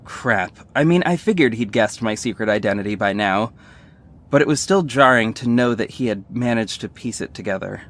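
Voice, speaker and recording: A man's voice: fairly low pitch, animated, moderate pace, fairly bright tone. A man playing a wry young superhero who narrates his own mission in scripted first person. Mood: tense, wry. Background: music bed.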